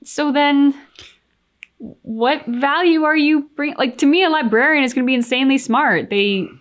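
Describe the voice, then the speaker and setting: A woman's voice: sits high, moderate pace. A woman co-hosting a reaction channel, chatting casually as she gives her commentary.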